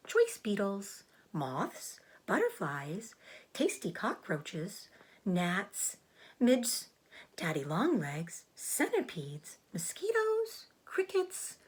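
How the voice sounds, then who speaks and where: A woman voicing a proud, clever female spider in a storybook, with a thin, breathy voice and a genteel, self-assured, explanatory manner. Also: mid-range voice, heavily acted. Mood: relishing. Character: clever, proud, matter-of-fact female spider, bloodthirsty trapper.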